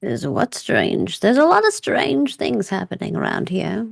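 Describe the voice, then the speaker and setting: A woman's voice: mid-range voice, wildly swooping pitch, a little slow, creaky, dry recording, studio quality, exaggerated voice. A woman playing a wry, worldly, evasive woman in a tabletop roleplay, musing in roundabout phrases.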